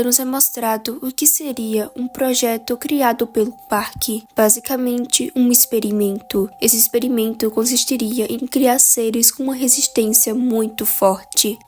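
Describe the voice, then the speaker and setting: Woman narrating a horror recap. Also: on the high side. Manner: steady, explanatory storytelling.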